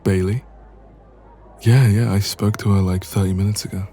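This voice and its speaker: A man playing an insecure, anxious young man confiding in a friend in an intimate audio roleplay, soft-spoken and hesitant. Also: lowish voice, steady tone, normal speed, slight background noise, natural voice. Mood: hesitant, apologetic.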